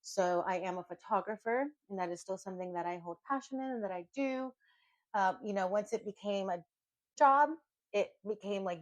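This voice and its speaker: A woman's voice: on the low side, no room sound, rough recording. Earnest Venezuelan-raised woman, dry close sound. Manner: earnest, reflective, with many fillers. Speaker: podcast co-host. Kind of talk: casual heartfelt chat.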